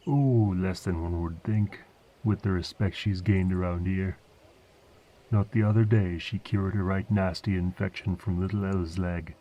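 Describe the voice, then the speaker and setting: An old rustic farmer, very low and mellow, humble, rambling, fantasy audio drama, slightly muffled recording. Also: male voice, steady tone, unhurried. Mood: chatty, folksy. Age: older. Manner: folksy, rambling rustic dialect. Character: old injured village farmer, humble, chatty and curious. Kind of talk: fantasy audio roleplay acting.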